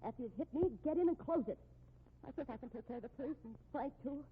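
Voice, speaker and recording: An actress playing an anxious, reproachful, respectable sister of a fugitive in a 1950s radio drama, agitated and scolding, on a muffled old recording. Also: female voice, high-pitched, quick pace, husky. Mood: frantic, urgent.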